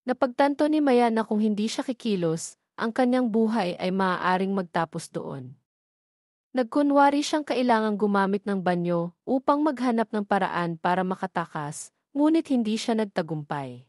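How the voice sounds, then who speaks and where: A woman's voice: mid-range voice, a little mellow, no echo. Filipina woman narrating a dramatic story, dry sound.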